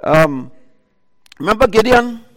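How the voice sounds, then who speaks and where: A man preaching a sermon into a microphone before an audience, with a loud voice and talk broken by fillers and restarts. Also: sits high, big pitch swings, takes it slowly, in a large hall. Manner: loud voice, talks in bursts with many fillers and restarts. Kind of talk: sermon retelling a Bible story.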